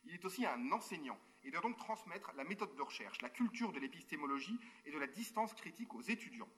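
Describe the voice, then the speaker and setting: Guy reading his conference paper fast over a call, formal wording, little off-script asides, kinda bright tense voice. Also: fairly high pitch, lively intonation. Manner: formal written phrasing with spoken asides and hesitations. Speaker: university researcher giving a conference talk. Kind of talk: reading a prepared formal talk.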